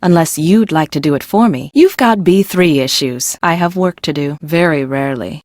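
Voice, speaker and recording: Game role: blunt, quirky female chief engineer played by a woman, low register, brisk offhand delivery, close dry recording. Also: a little mellow, dry recording, natural voice. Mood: busy, blunt. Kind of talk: video game voice acting.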